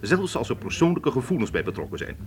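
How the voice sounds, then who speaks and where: An actor playing a polite but suspicious, stern Egyptian police inspector in a radio drama, questioning formally and insistently. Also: male voice, mid-range voice, big pitch swings, fast, lightly acted. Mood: stern, admonishing.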